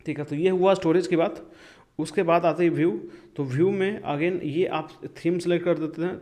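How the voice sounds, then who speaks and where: Higher-voiced man explaining app settings. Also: a little mellow. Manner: conversational, explanatory, checks in often with listener. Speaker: tech tutorial video host. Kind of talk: casual step-by-step screen tutorial.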